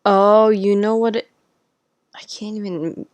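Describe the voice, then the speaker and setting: A woman's voice: mid-range voice, fairly even intonation, slow pace, a little mellow, dry recording. A woman cohosting a podcast in chatty, casual talk, swearing now and then, speaking into a headset microphone at home. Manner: chatty, swears casually, explains tech setup.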